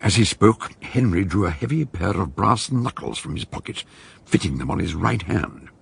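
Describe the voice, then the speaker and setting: A man's voice: deep voice, expressive, normal speed, gravelly, husky. A man narrating a scripted detective story in a dramatic style.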